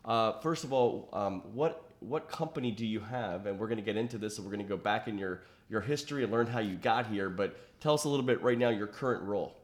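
A man's voice: lowish voice, quick pace, hardly any pauses. A middle-aged man hosting a podcast interview, talking in a rambling way with many fillers. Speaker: podcast host.

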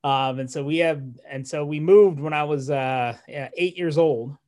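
A man in his forties from Malawi speaks in a fairly high voice for a man. He tells his story in a relaxed, conversational way, restarting sentences as he gathers his thoughts and laughing lightly now and then. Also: moderate pace. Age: 40s. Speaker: neurologist guest. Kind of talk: casual interview storytelling.

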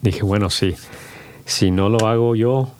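A middle-aged man, a podcast guest who talks in halting bursts with many restarts and slips into English. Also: lowish voice, a little mellow, a little hiss or hum. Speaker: podcast guest, company founder. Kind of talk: casual bilingual interview.

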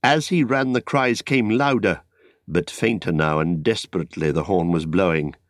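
A man narrating an epic story as an audiobook, in a dramatic, solemn and measured literary style. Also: low-pitched, dry recording, studio quality. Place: dry studio.

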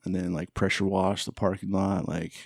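A man's voice: sits low, level tone, normal speed, vocal fry, no room sound, clean studio sound. A man who is a guest on his younger brother's podcast, chatting in an easygoing way, full of "like" and "dude". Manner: easygoing, says "dude" and "like" often. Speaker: guest, host's older brother. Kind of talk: casual chat.

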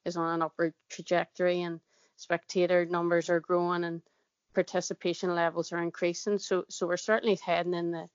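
A woman with a Northern Irish accent, a football player answering interview questions in a steady, measured way. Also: on the low side, clear, resonant tone, dry recording, lo-fi sound. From Northern Ireland. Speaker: guest, football player and pundit.

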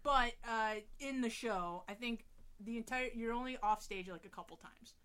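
A woman, a high school theatre director, chatting casually on a podcast in a frank, rambling way with authority. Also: moderate pace, a bit bright.

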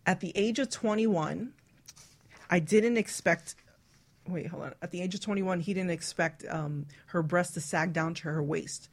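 Lower-pitched woman hosting candid talk radio. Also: measured pace. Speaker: radio talk show host. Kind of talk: candid casual chat, reading out listener stories.

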